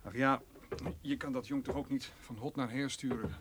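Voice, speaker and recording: An actor playing an exasperated but soft-hearted farmer in a radio play, flustered and stammering over repeated words. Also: male voice, medium pitch, steady tone, moderate pace, over loud music, close to an everyday voice. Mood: resigned. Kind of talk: Dutch radio play acting. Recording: noisy radio play recording.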